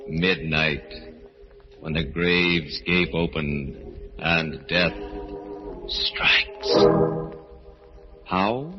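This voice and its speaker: An actor playing an ominous radio horror announcer and narrator, grave and dramatic, in 1940s style. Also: male voice, very deep voice, extremely slow, pauses often, full character voice. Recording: muffled old radio recording, music behind.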